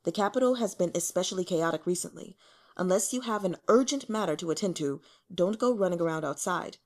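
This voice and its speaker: A woman's voice: fairly low pitch, brisk, a little mellow, natural voice. A woman voicing a stern, serious man of authority in an audiobook, speaking in terse, scolding commands. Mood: commanding. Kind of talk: audiobook character voice.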